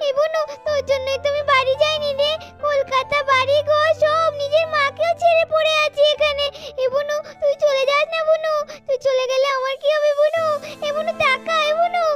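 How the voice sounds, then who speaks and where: Casting: imploring cartoon girl, devoted and distraught, extremely high squeaky voice, music behind. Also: little pitch movement, normal speed, fairly bright tone. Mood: desperate, pleading. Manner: repetitive, imploring. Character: devoted elder girl cousin, distraught and pleading. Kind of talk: melodramatic doll-story cartoon acting.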